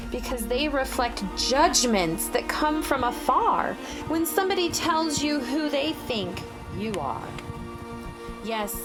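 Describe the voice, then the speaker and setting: A woman with a fairly high voice narrates for young children. She goes slowly and deliberately, reading expressively like a picture-book storyteller, with music clearly playing behind her. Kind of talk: expressive read-aloud of rhyming picture book.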